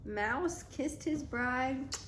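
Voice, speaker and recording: A woman reading a picture book aloud as a mother to a toddler, in an expressive, dramatic storytime style. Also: high voice, drawn-out words, background noise. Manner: dramatic, child-directed.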